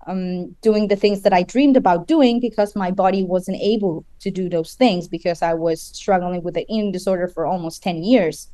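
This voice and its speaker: A woman in her twenties from Chile, a guest in an interview, speaking earnestly with halting restarts. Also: fairly low pitch, darkish tone, clean tone, no room sound. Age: 20s.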